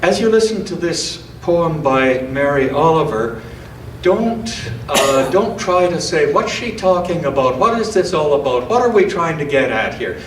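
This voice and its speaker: A man speaks as a reader in church, moving between reading aloud and informal commentary. His delivery is unhurried and reflective, with careful, measured phrasing. The sound is roomy and somewhat distant, with a faint layer of noise. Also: on the high side, sing-song. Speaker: church scripture and poetry reader.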